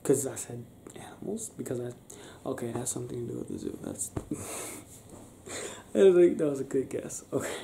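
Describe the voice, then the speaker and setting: A young man doing an improvised ASMR boyfriend roleplay, talking softly and affectionately in a breathy, teasing, near-whispered way. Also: medium pitch, wildly swooping pitch, moderate pace. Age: young adult. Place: small bedroom, lying on a bed indoors. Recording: roomy sound with faint background noise.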